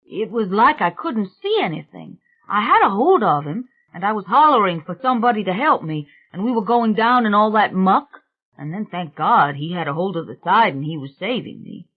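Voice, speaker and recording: A woman's voice: medium pitch, expressive, normal speed, narrow, tinny audio, voice pushed up, lightly acted. A woman voicing a shaken young male laborer in an audiobook, in a colloquial, run-on telling. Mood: shaken, urgent.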